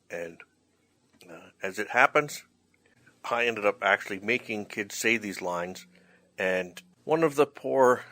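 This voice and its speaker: A man's voice: low-pitched, pushed and bright, no echo. A man co-hosting a casual chat as a writer and director, speaking in a hesitant, self-deprecating way. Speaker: co-host, writer and director of an audio play. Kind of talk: casual hosted chat introducing out-takes.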